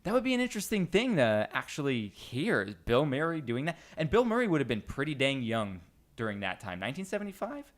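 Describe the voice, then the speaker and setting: Animated man hosting a casual podcast. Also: medium pitch, a little fast. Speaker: podcast host. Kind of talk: casual group podcast chat.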